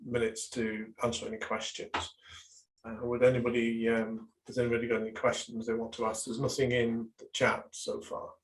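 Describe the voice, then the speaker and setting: A man's voice: medium pitch, rough recording. A man, a business coach, leads a live online workshop, talking with many fillers and restarts while losing his voice over a video call. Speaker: business and marketing coach leading a webinar.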